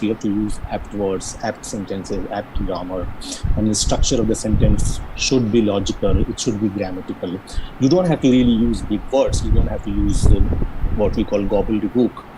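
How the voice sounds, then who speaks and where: A man's voice: fairly low pitch, noisy background. A man from Jharkhand with a Bihari-Jharkhand Indian English accent, giving an earnest, explanatory online lecture on interview skills, with frequent restarts and repetitions. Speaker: career guidance speaker.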